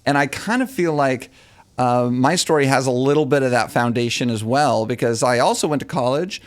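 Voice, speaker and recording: A man's voice: medium pitch, a little fast. A man from Texas hosting a podcast, thoughtful and folksy, often repeating words as he starts a sentence. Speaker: podcast host. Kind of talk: casual interview chat.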